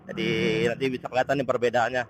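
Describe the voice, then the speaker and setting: A man's voice: mid-range voice, little pitch movement, very fast. A man, rambling casually and searching for words as he vlogs from a motorcycle. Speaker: motovlogger. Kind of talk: casual vlog chat while riding. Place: outdoors on a motorcycle on the road. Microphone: helmet intercom microphone over Bluetooth. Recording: noisy wireless helmet intercom microphone outdoors. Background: wind and road noise.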